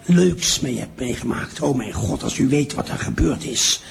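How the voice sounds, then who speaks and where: A man's voice: medium pitch, expressive, normal speed, vocal fry. An actor playing a mischievous elderly father who rambles, repeats himself and hesitates, in an improvised radio drama. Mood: indignant, gleeful.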